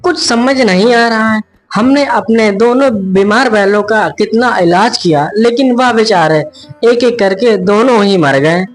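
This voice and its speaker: A woman's voice: fairly high pitch, a little slow, soft background music. A woman voicing a poor, honest, worried village husband in a children's cartoon story, earnest and plaintive. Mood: distressed.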